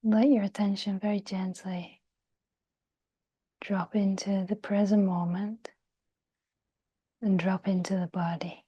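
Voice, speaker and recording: A woman guiding a meditation in a soft, breathy, near-whispered voice, gentle and soothing, with long pauses. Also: medium pitch, level tone. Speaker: meditation guide. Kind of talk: guided meditation.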